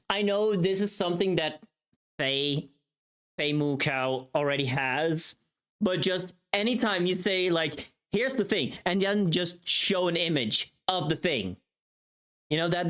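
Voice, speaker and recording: A woman livestreamer chatting casually while she works, muttering to herself and repeating phrases in a rambling way. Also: lowish voice. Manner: swears freely. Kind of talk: casual chat while working on stream.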